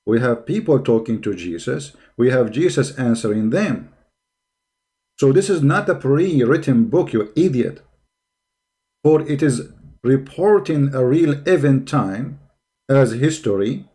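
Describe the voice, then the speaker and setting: A man's voice: mid-range voice, darkish tone. Combative man. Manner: combative, mocking, repeats phrases and asks rhetorical questions. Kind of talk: spontaneous polemical commentary.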